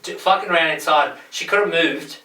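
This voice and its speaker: A man's voice: fairly high pitch, brisk, bright, forceful tone. A man who is a guest on a podcast, chatting casually and bluntly with constant swearing. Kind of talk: casual chat. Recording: echoey room, mic at a distance.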